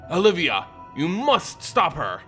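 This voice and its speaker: A man's voice: a little high, wildly swooping pitch, unhurried, a bit bright, soft background music, full character voice. A man voicing an elder vampire grandfather, grave and insistent, in a fantasy story reading. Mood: urgent.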